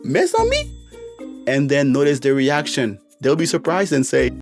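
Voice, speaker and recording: A man's voice: medium pitch, fairly even intonation, moderate pace, gravelly. A man teaching a beginner language lesson in an upbeat, encouraging way, spelling out each phrase carefully. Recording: dry, faint music behind.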